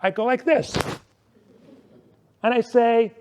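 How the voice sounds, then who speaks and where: High-pitched man giving an emphatic speech. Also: steady tone, a little mellow, muffled recording, a little hiss or hum. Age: 40s. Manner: emphatic, deliberate, with dramatic repetition and rhetorical questions. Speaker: club member giving a speech. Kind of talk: prepared motivational speech to a club audience.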